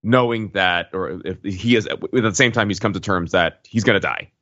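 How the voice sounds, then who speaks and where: Lower-voiced man, halting podcast discussion. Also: a bit bright, no echo, dull audio. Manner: halting, repeats words while forming thoughts. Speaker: podcast co-host. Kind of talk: casual explanatory discussion.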